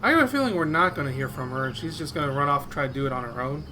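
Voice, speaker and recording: A man's voice: on the high side, lively intonation, racing, noisy background. A man giving casual commentary as a game streamer while he plays. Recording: game music behind the voice.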